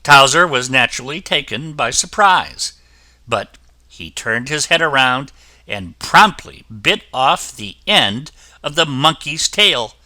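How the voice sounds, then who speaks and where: Guy reading a fairy tale, super bright voice, slow, dry close sound. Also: mid-range voice, no room sound. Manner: expressive, lively storybook delivery. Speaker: storyteller.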